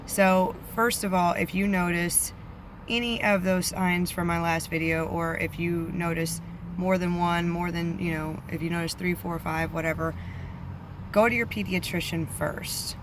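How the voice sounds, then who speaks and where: Flat-voiced mom vlogging outdoors. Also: female voice, fairly low pitch, a little slow, background noise. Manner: chatty, many ums, flat delivery. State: voice a bit off from allergies. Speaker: vlogger, parent. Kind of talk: casual advice vlog.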